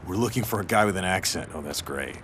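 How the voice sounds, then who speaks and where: A man's voice: low voice, expressive, fast talker, fairly bright tone, slight background noise. A man playing a young athlete buddy in a video game, trading casual, laid-back banter.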